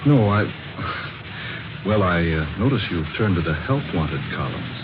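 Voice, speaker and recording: A breezy down-on-his-luck fellow from a vintage radio play, with a deep voice that swings freely up and down. He talks in friendly, folksy slang and wisecracks. The old recording is muffled and plainly noisy. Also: very animated, unhurried. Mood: wry, resigned. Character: broke, jobless, friendly wisecracking man sleeping on park bench. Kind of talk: 1940s radio drama acting.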